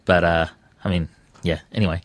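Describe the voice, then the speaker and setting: A man's voice: low-pitched, steady tone, fairly quick, no echo. A man with an Australian accent talking casually as an interview guest, often hesitating and correcting himself as he works through his thoughts. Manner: hesitant, self-correcting, discursive. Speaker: interview guest, radio presenter and podcaster.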